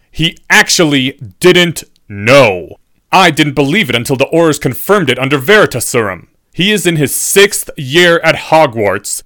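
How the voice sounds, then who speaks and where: A man's voice: mid-range voice, fairly bright tone, no room sound, lightly acted. A menacing professor, acted by a male narrator for an audiobook. He speaks formally, with biting sarcasm and a cutting temper, in a very dry, close recording.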